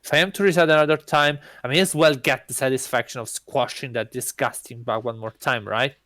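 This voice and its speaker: A man's voice: medium pitch, steady tone, fairly quick, sharp tone, dry recording, close to an everyday voice. A man voicing an aloof, deluded god posing as a student in a visual novel, musing in a self-important, awkwardly earnest way. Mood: vengeful. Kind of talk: first-person visual novel narration.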